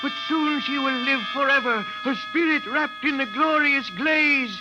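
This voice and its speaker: An actor playing a mournful, fatalistic alien villager, wailing mystically in a science fiction radio drama. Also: male voice, extremely high pitch, unhurried. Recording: muffled radio drama, music behind.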